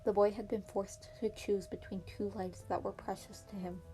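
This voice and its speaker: A woman narrates a piece of fan fiction in an amateur audiobook style. Her voice sits at an average pitch, with soft piano music playing behind it. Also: little pitch movement, moderate pace, rough recording.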